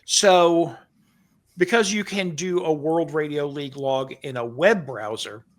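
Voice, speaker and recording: A man's voice: a little high, unhurried. A man co-hosting a podcast, chatting casually and explaining things with asides. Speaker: co-host.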